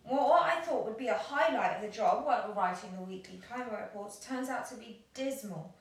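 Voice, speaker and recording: An actress plays a weary young council worker who complains and blames herself. Her voice sits slightly high in a naturalistic radio play. It is distant from the mic in a strongly reverberant room, with faint noise. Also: brisk, lightly acted. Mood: dissatisfied. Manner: exhausted, complaining. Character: weary, self-reproaching young council worker sister home from work. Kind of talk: naturalistic audio play acting.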